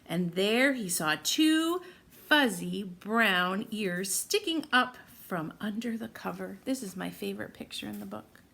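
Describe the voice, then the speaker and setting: A woman's voice: medium pitch, measured pace, creaky. A woman reading a picture book aloud expressively to young children, putting simple questions to her listeners. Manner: expressive, simple teacher-like phrasing addressed to children. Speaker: read-aloud storyteller for children.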